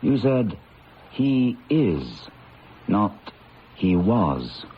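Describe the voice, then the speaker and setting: Breezy, animated male skeptic. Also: on the low side, very slow, exaggerated voice. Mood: affable, wry. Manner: wry, unruffled, reasoning. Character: breezy skeptical man who scoffs at ghosts. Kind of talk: radio drama acting. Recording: dull lo-fi old radio, faint noise.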